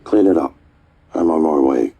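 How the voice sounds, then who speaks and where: Terse authority figure, video game: very low, darkish voice, commanding delivery. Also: male voice, moderate pace, warm tone. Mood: grave, commanding. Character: commanding, terse male boss. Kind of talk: game voice acting.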